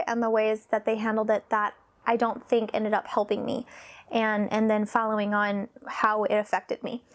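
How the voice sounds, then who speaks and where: A woman in her thirties talking about her own past in a vlog, nervous and halting, with sighs and pauses, sometimes dropping almost to a whisper. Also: fairly high pitch. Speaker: vlogger. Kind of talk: personal confessional talk.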